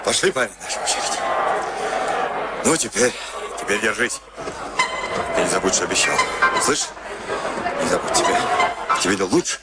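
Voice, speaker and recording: An actor playing a gruff boxing cornerman in a Soviet radio play, colloquial, urging and impatient. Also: fairly low pitch, animated, normal speed, long pauses, natural voice. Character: gruff, coaxing boxing cornerman goading his fighter. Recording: very noisy old radio drama recording.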